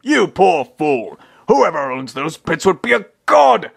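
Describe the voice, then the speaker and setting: A man puts on a dim, blustering old man for a comic dub. The voice is high and husky, leaping about in pitch. He sounds pompous, earnest and easily excited. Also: a little slow, exaggerated voice. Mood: smug, deluded.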